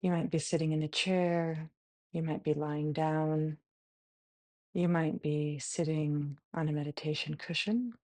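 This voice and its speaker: Female meditation guide, mellow and even, gentle short phrases with long pauses, close dry sound. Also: sits low, no room sound. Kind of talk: guided body scan meditation.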